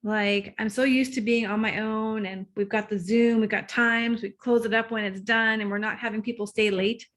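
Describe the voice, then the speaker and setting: A woman guest, a relationship coach, talking in a casual interview. She is excitable, laughs easily and sometimes loses her train of thought. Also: fairly high pitch, moderate pace, few pauses.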